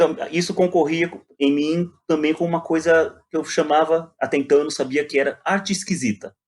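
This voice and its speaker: A Brazilian man talking casually and reflectively as an interview guest, often repeating words as he searches for phrasing. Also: a little high. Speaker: playwright and poet guest.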